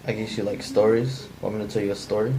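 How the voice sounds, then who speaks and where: A man's voice: lowish voice, fast talker, crowd noise, lightly acted, poor audio. A man reading the part of a menacing, bitter drifter in an unpolished script table read. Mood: bitter, resentful. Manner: flat, unpolished amateur line reading. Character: menacing, bitter, down-on-his-luck male drifter intruder.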